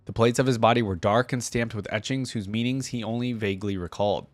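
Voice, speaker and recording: A man hosting a reaction, moving between casual commentary and expressive reading of fantasy stories aloud. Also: lowish voice, fast, no room sound. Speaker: online reaction host.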